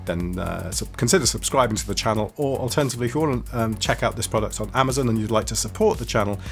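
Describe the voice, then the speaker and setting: A man's voice: lowish voice, fast talker, creaky, fairly bright tone, faint music behind. A man giving a casual, enthusiastic product review in a conversational way. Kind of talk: casual walkie-talkie review to camera.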